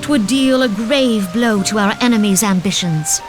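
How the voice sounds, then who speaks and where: A woman voicing a poised, formal stateswoman in a fantasy video game, speaking in measured, stately and archaic phrases. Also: mid-range voice, music in the background, close to an everyday voice. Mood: resolute, persuasive.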